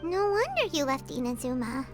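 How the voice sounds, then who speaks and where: A woman voicing a tiny, chatty female fairy companion in a video game, in a high, squeaky, childlike voice. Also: big pitch swings, moderate pace. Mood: chirpy. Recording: game audio with music behind.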